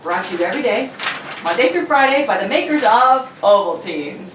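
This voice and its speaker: High, animated woman radio announcer. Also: brisk, recorded from a distance. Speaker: announcer and narrator. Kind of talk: amateur read of 1940s radio narration and sponsor ad. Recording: roomy, off-mic, faint noise.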